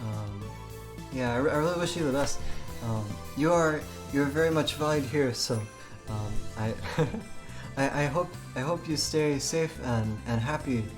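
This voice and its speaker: A man's voice: medium pitch, measured pace, over music. A man live streaming in a casual way, chatting and reading a game aloud with a hesitant, stammering delivery that often repeats words.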